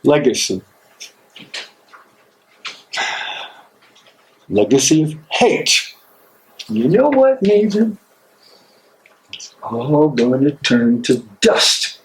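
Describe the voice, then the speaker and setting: A man's voice: on the high side, very animated, takes it slowly. A man playing an imprisoned, devout and defiant German theologian in a dramatic stage reading, with an impassioned, declamatory delivery. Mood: bitter, searching. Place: library room, live reading. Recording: echoey room, distant mic, noisy.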